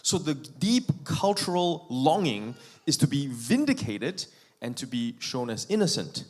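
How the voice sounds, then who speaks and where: A man from Malaysia preaching a sermon in a church, speaking in short phrases and pausing between them for an interpreter. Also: on the high side, big pitch swings. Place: church sanctuary.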